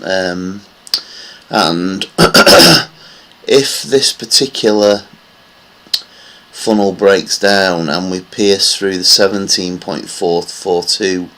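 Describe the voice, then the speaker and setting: Low-voiced man rambling over charts. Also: fairly even intonation, slow, a bit bright. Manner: rambling, with many fillers. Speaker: market analysis vlogger. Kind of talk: casual chart commentary.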